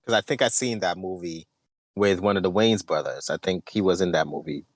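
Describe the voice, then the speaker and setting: A man's voice: low-pitched, brisk, dry recording, clean studio sound. A man co-hosting a podcast in casual chat, sometimes hesitant and repeating himself. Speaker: podcast co-host.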